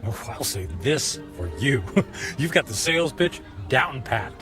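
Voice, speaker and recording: A man's voice: medium pitch, very animated, unhurried, music in the background. An actor playing a smug, ruthless, self-absorbed businessman in a radio drama, sarcastic and dryly mocking. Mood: sarcastic, sceptical.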